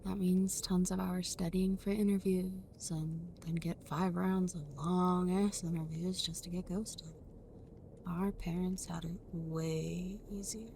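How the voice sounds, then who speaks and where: A woman playing an affectionate, playfully teasing young friend in an ASMR roleplay, speaking in a soft, breathy, often whispered voice with a gentle, intimate manner. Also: on the low side, steady tone, takes it slowly, a little hiss or hum. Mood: wry, resigned.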